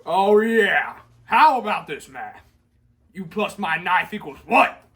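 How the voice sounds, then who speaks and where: A teenage boy playing a blustering, threatening robber captain in a classroom reader's theater, loud and pirate-like. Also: high-pitched, big pitch swings, slow, heavily acted. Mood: gruff bullying. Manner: loud, bullying, pirate-style talk. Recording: classroom recording.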